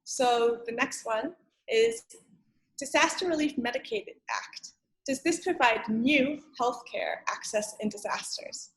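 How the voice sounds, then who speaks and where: A woman's voice: fairly high pitch, big pitch swings, unhurried, creaky, poor audio. A woman moderating a question session, politely reading questions out and handing them over in an orderly way.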